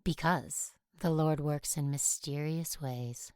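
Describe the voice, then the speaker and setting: Stern convent sister for audio drama, female actor. Restrained authority, solemn, very slow delivery, dry recording. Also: low voice, steady tone, darkish tone, dry recording. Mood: solemn, measured. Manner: measured, solemn.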